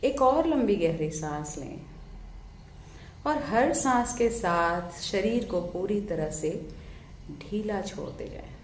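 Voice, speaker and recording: A woman leading a guided meditation, giving soothing, measured instructions with drawn-out pauses between phrases. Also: medium pitch, lively intonation, roomy sound, slight background noise.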